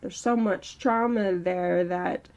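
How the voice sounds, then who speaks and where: A woman in her early thirties talking slowly and reflectively in a personal vlog, with a strained throat. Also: on the low side, fairly even intonation, darkish tone, dull audio. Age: early 30s. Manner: slow, halting, reflective. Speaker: channel host. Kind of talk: personal mental health vlog monologue.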